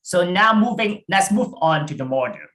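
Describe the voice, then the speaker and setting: A British man giving a prepared science talk as an invited researcher over a video call, measured and deliberate. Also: sits high, animated, bright, forceful tone.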